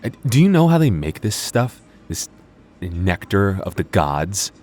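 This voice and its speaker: A man playing a teasing, laid-back young vampire coworker on a store night shift, talking casually and a little haltingly in an intimate ASMR roleplay. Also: low-pitched, sing-song, darkish tone. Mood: playfully indignant. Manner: hesitant, stammering, dryly teasing.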